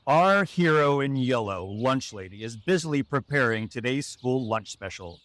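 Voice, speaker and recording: A man playing a grand, dramatic superhero storybook narrator in a comic skit, with big pauses. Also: mid-range voice, animated, a bit bright, slight background noise, natural voice. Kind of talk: mock-heroic skit narration.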